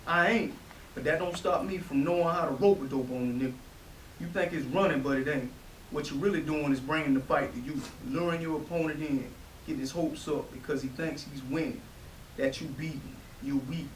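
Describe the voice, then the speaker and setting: A man's voice: a little high, fairly quick, natural voice. Man playing a brash street-tough brother, roomy and distant. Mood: cocky boasting. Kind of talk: staged play reading. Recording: distant roomy stage recording with hiss.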